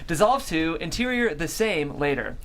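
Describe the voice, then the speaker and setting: A woman's voice: on the low side, expressive, a little slow. Woman narrating, with noise behind her. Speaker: host and stage-direction reader. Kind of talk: scripted narration at a table read.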